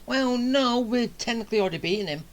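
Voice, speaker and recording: A woman giving casual, low-key commentary while playing a video game, laid-back and offhand. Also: animated, fast talker.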